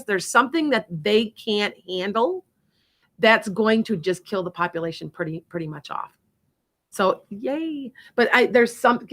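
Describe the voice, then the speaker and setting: A woman's voice: mid-range voice, fairly bright tone. A woman with a Wisconsin accent hosting a psychic radio show, chatting in a rambling way and laughing often. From Wisconsin, USA. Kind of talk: casual chat and psychic readings.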